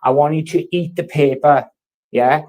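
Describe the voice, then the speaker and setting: A man in his thirties from South Wales, speaking, vents at his neighbours in a furious, foul-mouthed rant. His voice sits slightly high for a man and keeps pushing louder as he hammers each point. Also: normal speed. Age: 30s. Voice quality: swears heavily, loud and confrontational. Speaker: vlogger. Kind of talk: angry rant to camera.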